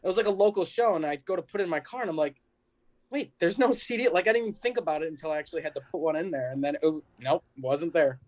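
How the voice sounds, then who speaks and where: A man's voice: a little high, fast talker, darkish tone. An American man from Chicago, a band musician chatting casually as a podcast guest, rambling along with plenty of "like" and "you know". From Chicago, United States.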